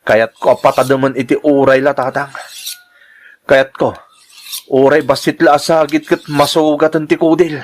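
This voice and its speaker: A slightly high-voiced Filipino husband in an audio drama, acted by a man. He is hurt and suspicious, and his words come out stern and accusing. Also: little pitch movement, normal speed, lightly acted. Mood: brooding, bitter.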